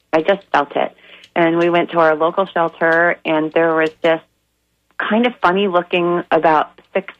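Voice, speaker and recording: Raspy, hoarse woman guest, earnest storyteller; dry, muffled phone sound. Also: on the low side, no room sound. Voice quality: raspy, slightly crackly. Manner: earnest, storytelling with many ums. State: hoarse from a weekend of events. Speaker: guest, animal charity founder. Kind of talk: radio interview.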